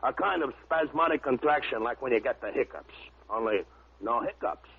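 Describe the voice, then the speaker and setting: A brash American gym-loving lug in a vintage radio play. His talk is blunt and slangy in a rough, husky voice, heard through a tinny recording. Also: medium pitch, animated, normal speed. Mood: earnest complaining. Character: brash muscle-bound gym enthusiast, cheerful male sidekick. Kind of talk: old-time radio comedy-drama acting.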